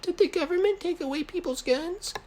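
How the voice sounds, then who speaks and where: A whiny, timid young man in a story. The voice is a very high, squeaky falsetto, anxious and pleading. There is faint noise in the recording. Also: fairly quick, darkish tone. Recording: noisy home recording.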